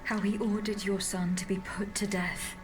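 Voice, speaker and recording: An actress playing a composed royal bodyguard in a video game, speaking formally and with earnest insistence. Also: female voice, mid-range voice, flat delivery, normal speed, close to an everyday voice. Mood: grave insistence. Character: composed, insistent bodyguard woman revealing hard truths. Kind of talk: dramatic video game cutscene acting. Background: music.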